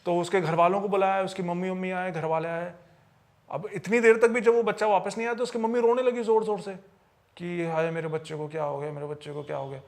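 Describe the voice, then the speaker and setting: A man's voice: sits high, little pitch movement, fast talker, darkish tone. A man telling paranormal tales in a conversational podcast style, often repeating and rephrasing phrases for emphasis. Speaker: podcast storyteller.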